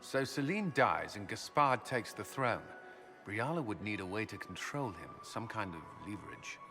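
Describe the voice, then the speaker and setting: An actor playing a blunt, wary military commander in a video game, speaking firmly and directly. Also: male voice, lowish voice, animated, moderate pace, sharp tone, faint music behind. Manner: direct, firm. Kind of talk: video game cutscene acting. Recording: long hall reverb.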